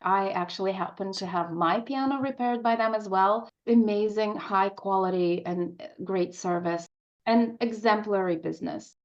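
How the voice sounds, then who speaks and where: A woman's voice: mid-range voice, moderate pace, muffled recording. A woman speaking as an interview guest, an opera composer in conversation on the radio.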